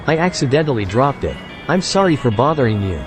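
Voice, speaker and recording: A man's voice: medium pitch, very animated, brisk, over music, close to an everyday voice. A man voicing a curious, polite young university student in an audio novel. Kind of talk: scripted audio novel dialogue.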